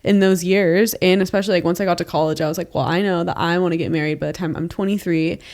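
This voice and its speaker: A woman's voice: fairly low pitch, steady tone, fast, a little mellow. A woman in her late twenties, co-hosting a podcast in casual chat, candid and sprinkled with "like" and the odd swear. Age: 20s. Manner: frequent "like" fillers, candid and profane. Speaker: podcast co-host.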